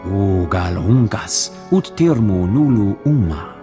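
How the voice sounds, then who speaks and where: An actor voicing an animated male alien who speaks in an invented tongue in a video game. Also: fairly low pitch, slow pace, over music.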